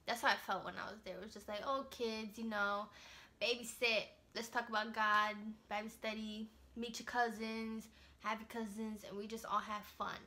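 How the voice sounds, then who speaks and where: A woman's voice: fairly high pitch, flat delivery, normal speed, bright, forceful tone. A young woman vlogger telling personal stories in a casual, slangy, chatty way, laughing now and then. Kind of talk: casual storytelling to camera.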